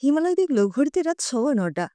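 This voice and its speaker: A woman narrating a scripted short story in an expressive storytelling style. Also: high-pitched, fairly quick, no room sound.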